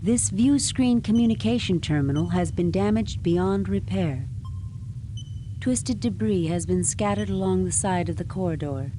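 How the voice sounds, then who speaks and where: A woman reading scripted descriptive narration for a video game in a measured, matter-of-fact way. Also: fairly low pitch. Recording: noisy old CD-ROM game audio.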